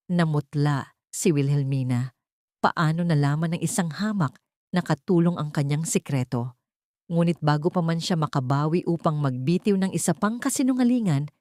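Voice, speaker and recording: A woman's voice: deep voice, a little mellow, no echo, clean studio sound. A woman narrating a scripted audiobook drama in a dramatic storytelling style.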